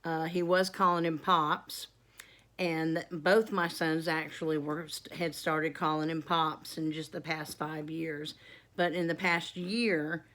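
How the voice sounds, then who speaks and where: A woman's voice: low voice, flat delivery, slow pace, a bit bright. A woman, chatting to camera in a rambling, casual Q and A for her homestead vlog. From North Carolina, USA. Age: 50s. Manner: chatty and rambling, many ums and asides. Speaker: homestead vlogger.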